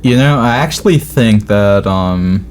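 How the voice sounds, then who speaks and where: A young American man chatting casually as a podcast host, in an informal, halting, self-interrupting way. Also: lowish voice, expressive. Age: young adult. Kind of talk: casual conversational chat. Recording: clearly noisy room ambience.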